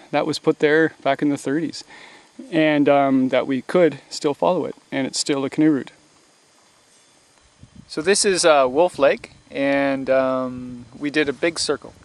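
Middle-aged Canadian man, slightly higher-pitched voice. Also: darkish tone. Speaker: canoe trip host. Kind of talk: casual outdoor vlog chat to camera. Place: outdoors in woods and by lakes. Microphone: camera mic at close range.

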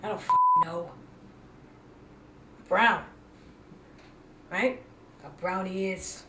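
A woman voicing a foul-mouthed, deadpan, defiant female dog in a comedy sketch. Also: mid-range voice, drawn-out words, slight background noise, full character voice. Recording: echoey room, distant mic.

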